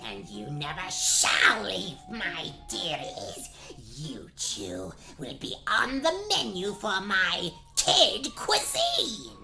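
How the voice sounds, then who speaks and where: A sly old witch in a comic fairy-tale radio play. She draws her words out very slowly and swings dramatically from mock-sweet to gloating. Music plays prominently behind her. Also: mid-range voice, sing-song, vocal fry, heavily acted. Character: sly, theatrical old witch posing as sweet little old lady.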